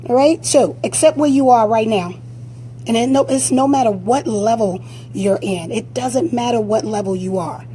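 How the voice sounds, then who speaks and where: A woman fitness coach with a raspy voice, giving blunt, direct motivational advice during a live-stream Q&A on a phone. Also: a little high, moderate pace, dark tone, a little hiss or hum.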